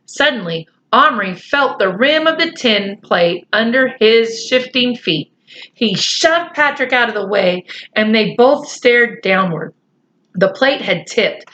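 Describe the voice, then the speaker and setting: A woman reading a children's book aloud, pausing for chatty asides to her listeners. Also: fairly high pitch, pushed and bright. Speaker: storyteller.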